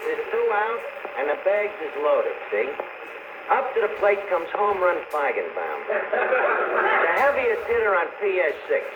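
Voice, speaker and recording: A man's voice: high voice, dull audio. An actor playing a boastful, slangy working-class New York tavern man in an old-time radio comedy. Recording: noisy, band-limited, echoey vintage shortwave radio broadcast.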